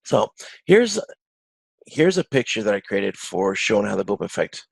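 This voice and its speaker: A man giving an informal online lecture as an instructor, explaining in a halting way and often rephrasing himself. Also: lowish voice, very animated, vocal fry, husky, no room sound. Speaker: course instructor. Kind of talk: informal recorded video-conference lecture with slides.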